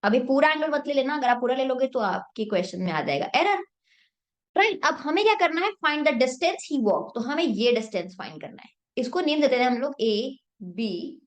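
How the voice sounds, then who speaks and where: A woman's voice: sits high, sing-song, moderate pace. A young woman math teacher with a sore throat, explaining a problem step by step in a mix of Hindi and English and answering her own questions.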